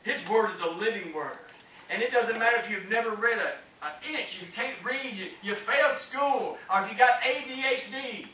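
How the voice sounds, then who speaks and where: A man's voice: high-pitched, normal speed, sharp tone. A middle-aged man preaching to a small congregation, fervent and calling for amens, recorded by a distant camera. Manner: fervent, rising and falling, calls for amens. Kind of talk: Pentecostal-style sermon. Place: small church hall with congregation. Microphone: far camera, no mic seen. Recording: echoey room from far off with faint hiss.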